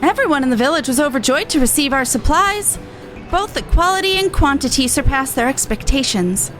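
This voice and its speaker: An earnest young tribeswoman, read by a woman for a fantasy game, speaks in a high, expressive voice. Her dutiful, resistance-veteran character comes through in animated delivery, with music playing behind. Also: a little fast. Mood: grateful, upbeat. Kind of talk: video game dialogue read aloud.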